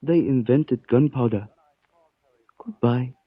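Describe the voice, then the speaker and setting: An actor in a 1940s radio detective drama playing a polite, menacing Chinese man out to avenge his father, with formal, measured phrasing. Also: mid-range voice, over loud music, lightly acted. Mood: calm menace. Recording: muffled 1940s radio recording.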